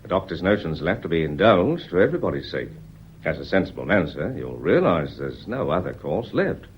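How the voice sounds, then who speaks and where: A man acting in a British radio play as a polite, quietly persistent police inspector, measured and unflappable, with a British accent. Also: low voice, big pitch swings, a little hiss or hum. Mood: measured, official. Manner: deferential, measured, unflappable, constantly courteous. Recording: dull lo-fi radio recording.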